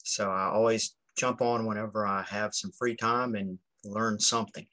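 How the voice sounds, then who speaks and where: Insurance guy introducing himself, casual, bright dry voice. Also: lowish voice, fairly even intonation, moderate pace, dry recording. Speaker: insurance broker. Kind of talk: self-introduction at online meetup.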